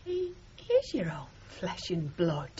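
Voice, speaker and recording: A woman's voice: fairly low pitch, wildly swooping pitch, takes it slowly, a little mellow, heavily acted. An actress playing a reproachful, sensible middle-aged wife and mother in a radio drama, chiding and plain-spoken. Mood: weary, matter-of-fact. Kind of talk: 1970s radio drama acting. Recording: muffled, noisy 1970s radio broadcast.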